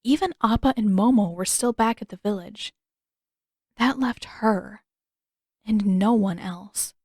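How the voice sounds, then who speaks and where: A woman's voice: mid-range voice, unhurried, darkish tone, slightly rough, no room sound. A woman reading scripted story narration expressively, as an audiobook narrator close to the mic.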